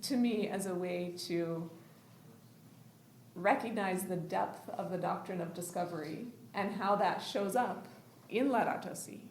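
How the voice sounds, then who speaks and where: A woman professor and writer giving reflective, measured remarks in a group conversation, with light laughs. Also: fairly low pitch, echoey room, a little hiss or hum.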